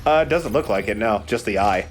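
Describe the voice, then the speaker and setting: A man's voice: on the low side, animated, brisk, a little hiss or hum. A man commentating a video game in casual, joking chat with friends. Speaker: let's play commentator.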